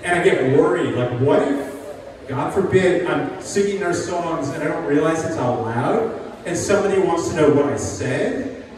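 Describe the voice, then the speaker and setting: A man's voice: fairly high pitch, lively intonation, normal speed, darkish tone, a little hiss or hum. An older man from New Jersey doing a stand-up set in a sing-songy voice that makes statements sound like questions. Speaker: stand-up comedian. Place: live venue with an audience. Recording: echoey hall recording from far off. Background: audience laughter.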